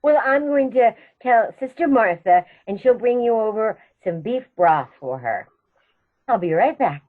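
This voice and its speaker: A woman plays a sweet old spinster aunt in a radio comedy, fussing and chatting genteelly. Her pitch swoops freely, and the sound is a little dull. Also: lively intonation, measured pace. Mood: fussing, kindly. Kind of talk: radio comedy theatre acting.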